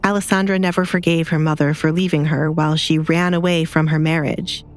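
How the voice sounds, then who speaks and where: A woman's voice: deep voice, level tone, fairly quick, faint music behind. A woman reading scripted dramatic fiction as an audiobook narrator, with light noise behind her.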